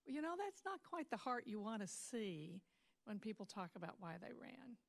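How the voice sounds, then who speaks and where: A woman's voice: fairly high pitch, big pitch swings, moderate pace, gravelly, no echo. Dry-sounding woman facilitating a board meeting. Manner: conversational, affirming, anecdotal. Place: school board meeting room.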